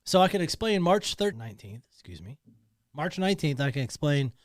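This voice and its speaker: A man's voice: fairly high pitch, sing-song, brisk, dry recording. Emphatic man hosting a studio podcast. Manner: emotional, emphatic, repeats himself. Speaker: podcast host. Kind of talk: personal monologue.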